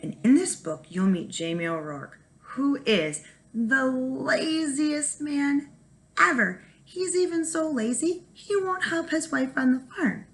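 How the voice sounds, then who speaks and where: Female teacher for a children's read-aloud, animated and emphatic, with a slightly gravelly creak. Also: medium pitch, poor audio. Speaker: first grade teacher reading aloud.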